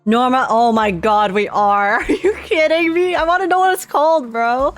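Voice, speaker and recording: A woman reacting casually to a game on a livestream, with an excitable, giggly and playful manner. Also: high-pitched, normal speed, soft background music. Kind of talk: casual live gaming reaction.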